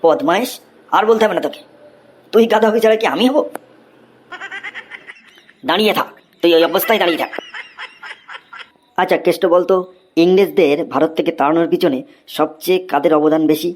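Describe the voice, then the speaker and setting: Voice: medium pitch, fairly quick, long pauses, a little hiss or hum, close to an everyday voice. A performer voicing an exasperated male schoolteacher in a Bengali comedy cartoon, with a theatrical, scolding manner.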